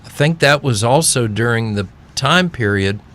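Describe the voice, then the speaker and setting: A man hosting an interview, feeling out his unscripted questions in halting fragments. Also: medium pitch, expressive, fairly bright tone. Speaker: interviewer, podcast host.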